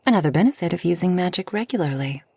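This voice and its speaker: Low, breathy forest witch, played by a woman. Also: animated, dry recording, full character voice. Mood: welcoming, gentle. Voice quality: soft, breathy, often near whisper. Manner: gentle, unhurried, soothing, slightly formal speech. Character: kind, solitary, long-lived forest witch hosting a traveler. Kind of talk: soft ASMR roleplay.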